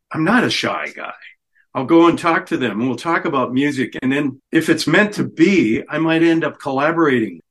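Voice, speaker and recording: A man's voice: a little high, fairly bright tone. Easygoing middle-aged man, chatty. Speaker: musician guest. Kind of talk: casual radio interview chat.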